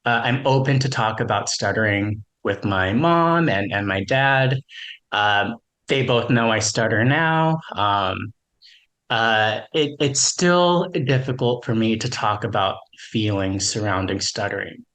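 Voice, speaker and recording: A man's voice: fairly low pitch, measured pace, fairly bright tone. A man who stutters, sharing a personal reflection as a webinar panelist, with frequent repeats and fillers.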